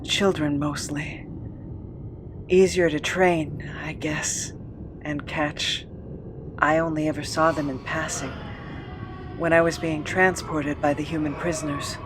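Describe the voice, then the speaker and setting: An actor playing a weary, haunted former captive in an audio drama, recounting a cruel past in a halting, measured way. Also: medium pitch, level tone, noisy background, natural voice. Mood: subdued, uncertain.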